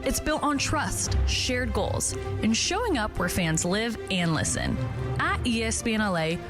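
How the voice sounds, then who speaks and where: A woman reading a scripted radio recruitment ad in a smooth, polished, measured way. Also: a little high, sing-song. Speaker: advertisement announcer. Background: music bed.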